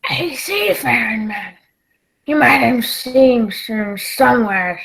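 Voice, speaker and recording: A gruff old sea captain acted by a woman for a radio play, pitched high yet full of gravel. The talk is salty and commanding, that of a hard-drinking pirate used to being obeyed. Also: animated, takes it slowly, fairly bright tone, heavily acted. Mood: wary, secretive.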